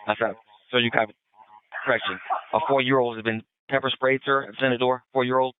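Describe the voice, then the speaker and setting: A man's voice: medium pitch, steady tone, a little fast, noisy background. A man working as a police dispatcher, speaking in clipped, procedural exchanges over a two-way radio. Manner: procedural, clipped call-and-response. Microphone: radio transmitter. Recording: muffled, band-limited police radio transmission.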